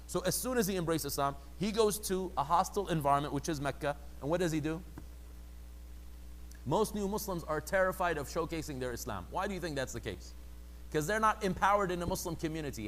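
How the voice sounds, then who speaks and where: Male lecturer, slightly high voice, casual teaching tone, faint hum. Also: expressive, quick pace, fairly bright tone, rough recording. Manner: conversational, acts out quoted people in higher mocking voices. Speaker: religious teacher.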